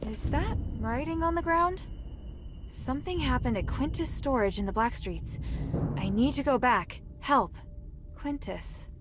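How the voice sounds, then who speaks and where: A woman's voice: sits high, expressive, a little slow, noisy background. An actress playing a curious, earnest young heroine in a fantasy video game, with music behind her. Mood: wondering, puzzled. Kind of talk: scripted video game acting.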